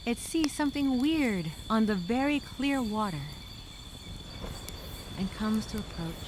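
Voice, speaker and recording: A woman's voice: fairly high pitch, expressive, a little slow. A woman playing a weary, sarcastically hopeful castaway who muses aloud in a dramatic journal monologue. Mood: wistful, tired. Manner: wry, musing, sarcastic. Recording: noisy, insects and crickets chirping behind.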